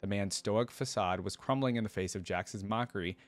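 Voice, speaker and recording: A man's voice: fairly low pitch, fairly quick. A man reading a fantasy story aloud and adding enthusiastic, informal fan commentary as a reaction video host.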